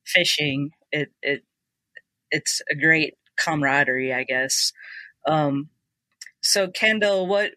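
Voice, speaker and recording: Bright, dry-sounding woman host. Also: low voice, dry recording. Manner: encouraging, short affirmations. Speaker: podcast host. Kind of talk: interview hosting.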